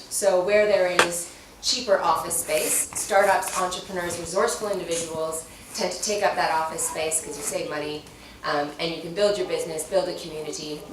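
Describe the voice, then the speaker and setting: A woman on a discussion panel, speaking loudly and holding the mic away from her mouth as she lays out her points in order. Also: on the low side, noisy background. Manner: loud, projecting, structured explanations. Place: tech event space indoors. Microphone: handheld mic held away from mouth. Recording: echoey room, distant from mic.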